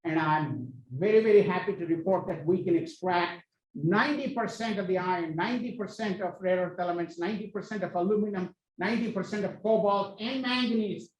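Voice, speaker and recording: A man presenting at an academic panel, with a voice a touch higher than most. He is recorded from across an echoey hall, and he restarts and repeats his phrases as he goes. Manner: speaks in halting, repeated phrases. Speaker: panel moderator and researcher. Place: university hall. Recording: echoey hall, distant mic.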